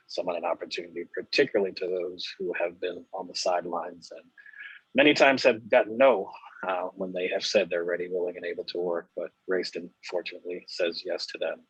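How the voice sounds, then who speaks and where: A man introducing himself earnestly on a webinar panel, with halting fillers, heard over video call audio. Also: sits low, breathy edge, no room sound, highs cut off. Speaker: panelist, bakery leader.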